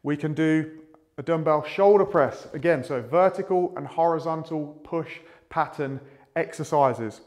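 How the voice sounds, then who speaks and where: A man in his thirties speaking as a fitness coach, explaining in a measured, methodical way to camera. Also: on the high side, darkish tone. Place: small indoor room with a whiteboard.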